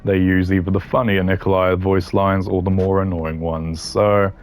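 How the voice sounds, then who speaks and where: A man doing casual gaming commentary. His voice is fairly low. He hands out opinions bluntly, dismissive and drily humorous. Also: little pitch movement, normal speed. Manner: blunt, dismissive, dry humour. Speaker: gaming content creator ranking voice lines.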